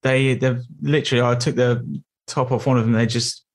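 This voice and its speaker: Male guest, mid-pitched, upbeat and easygoing, pokes fun at himself. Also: level tone, moderate pace. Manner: friendly, enthusiastic, self-deprecating. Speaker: podcast guest. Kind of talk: casual podcast chat.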